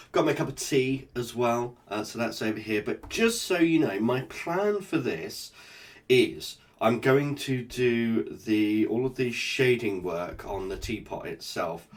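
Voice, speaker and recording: A man with a stuffy cold, chatting casually as he talks through a painting. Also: lowish voice. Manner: relaxed, chatty, explains as he paints. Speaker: art channel host. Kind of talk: casual art tutorial narration.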